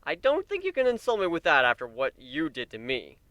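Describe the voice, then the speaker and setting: A man voicing a good-humoured, caring male pony husband in a fan fiction reading, casual and gently wry. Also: fairly high pitch, wildly swooping pitch, fairly quick, dry recording, exaggerated voice. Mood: wry, teasing.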